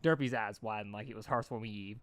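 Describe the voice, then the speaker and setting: A man hosting a fan fiction reading, switching between expressive narration and informal, rambling chat. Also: fairly low pitch, fast, no echo. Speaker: fan fiction reader and video host. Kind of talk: animated story reading and casual chat.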